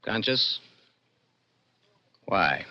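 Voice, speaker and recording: A stern, forceful young military judge. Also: male voice, fairly low pitch, sing-song, drawn-out words, full character voice. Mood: subdued. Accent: American. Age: young adult. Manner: cold, formal, clipped pronouncements. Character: stern young lieutenant judge of a dystopian court. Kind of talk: 1960s radio drama acting. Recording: dull old-time radio recording.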